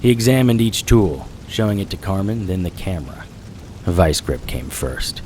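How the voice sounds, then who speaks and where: A man's voice: low voice, big pitch swings, moderate pace, a little mellow, slight background noise. A man playing a terse, hard-boiled private investigator who narrates his own noir audio drama with grim intent. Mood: grim narration.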